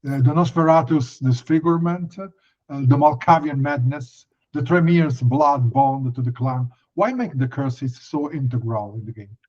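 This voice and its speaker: A man interviewing politely and haltingly, deferring to his guest as he works through prepared questions. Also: mid-range voice, darkish tone. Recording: muffled, band-limited audio.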